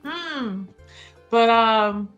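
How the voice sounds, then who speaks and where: A woman improvises casually at a tabletop game. Her voice is high and bright, and she takes her time, playful throughout, with faint music underneath. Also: animated, very slow, dull audio. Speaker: player in a tabletop roleplaying game. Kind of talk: casual improvised roleplay and chat.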